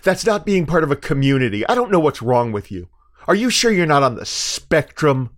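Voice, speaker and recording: A man's voice: a little high, very animated, a little fast, pitched above the performer's own voice. An American man voicing a scolding, indignant adult sister in a condescending, accusatory way within a personal story.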